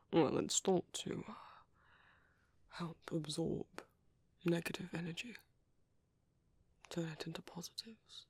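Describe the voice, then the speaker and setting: A British man playing a gentle, caring vampire priest in an ASMR roleplay, speaking softly, hesitantly and politely in a breathy, whispery voice up close. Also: on the high side, slow pace, pauses often, no room sound, full character voice. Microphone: close microphone.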